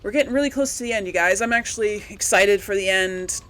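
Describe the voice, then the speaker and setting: A woman's voice: mid-range voice, quick pace, fairly bright tone, rough recording. Woman chatting casually as a gaming host. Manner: rambling, chatty. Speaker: host of a video game let's play channel. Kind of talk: casual gaming commentary to viewers.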